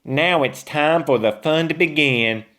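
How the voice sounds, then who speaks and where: A man's voice: a little high, measured pace, sharp tone, voice pushed up. A man voicing a cackling, gloating old witch with a snorting, taunting manner in a children's storybook. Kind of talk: children's storybook read-aloud.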